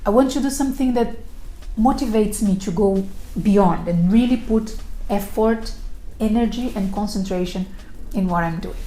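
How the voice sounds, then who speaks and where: A middle-aged woman with a non-native English accent, presenting a prepared video introduction in careful, deliberate phrasing. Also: medium pitch, dark tone. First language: not English. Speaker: recruiter presenting a video series. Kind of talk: prepared self-introduction to camera. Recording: roomy echo, faint background noise.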